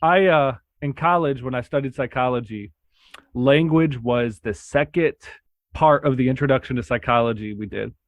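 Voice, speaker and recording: A man hosting a casual podcast chat, relaxed and heavy on filler words. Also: moderate pace, dry recording, narrow, tinny audio. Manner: relaxed, lots of "like" fillers, rises in pitch when excited. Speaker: podcast host.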